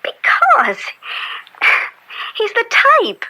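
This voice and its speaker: An actress playing a young, opinionated college student, a judge's daughter, teasing and bookish, in an old-time radio drama. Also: female voice, very slow, pushed and bright, in a whisper, rough recording. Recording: vintage radio drama recording.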